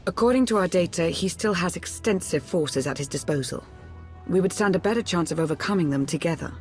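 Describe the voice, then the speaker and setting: An actress playing a deferential, analytical Imperial adviser in a sci-fi game, formal and precise. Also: female voice, on the low side, lively intonation, a little fast, faint music behind, close to an everyday voice. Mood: measured, serious. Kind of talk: dramatic sci-fi game dialogue.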